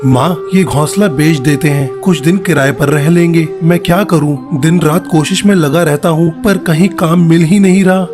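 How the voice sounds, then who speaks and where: A woman's voice: sits low, moderate pace, hardly any pauses, over music, close to an everyday voice. A woman voicing a weary, defensive young husband bird out of work, in a cartoon. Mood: defensive, frustrated. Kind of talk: cartoon voice acting.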